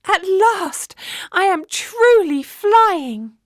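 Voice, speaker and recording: A woman's voice: very high-pitched, animated, extremely slow, dry recording, studio quality, pitched above the performer's own voice, heavily acted. A woman voicing a tiny, sweet, tender-hearted fairy-tale girl who speaks with earnest emotion. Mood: overjoyed.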